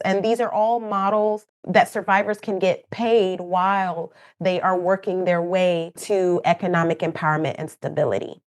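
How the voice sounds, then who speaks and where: A woman from Kenya with a Kenyan accent, whose first language is not English, answering as a guest expert in a podcast interview in an earnest, explanatory way. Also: mid-range voice. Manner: earnest, explanatory, with light laughs and asides. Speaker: program manager, guest expert.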